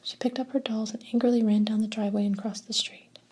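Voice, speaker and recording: Woman, story narrator, whispered ASMR reading, very breathy. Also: fairly high pitch, steady tone, fast, warm tone.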